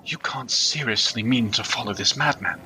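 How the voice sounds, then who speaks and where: A man's voice: mid-range voice, normal speed, pushed and bright, slight background noise, rough recording. A man playing a distrustful, reluctant traveller in a fantasy audio drama, urgent and protesting. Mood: wary, agitated. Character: distrustful reluctant male traveller stranded in another realm.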